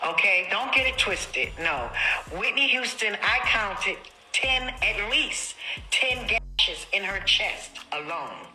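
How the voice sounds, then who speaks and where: A woman's voice: medium pitch, animated, slow pace, sharp tone. A woman giving an impassioned spoken account, emphatic and insistent, repeating phrases for weight. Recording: echoey room, music underneath.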